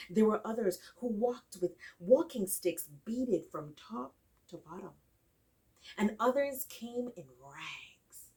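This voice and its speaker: Woman telling a folktale, super animated and dramatic, mic sounds kinda far away. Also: medium pitch. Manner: dramatic, deliberate phrasing with emphatic repetition. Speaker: storyteller. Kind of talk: expressive oral folktale storytelling. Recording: distant microphone.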